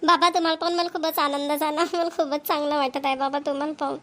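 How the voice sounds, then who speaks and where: High-voiced cheerful young village daughter played by a woman. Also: little pitch movement, quick pace, a bit bright. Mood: delighted. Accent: rural Maharashtrian Marathi. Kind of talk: Marathi cartoon family comedy voice-over.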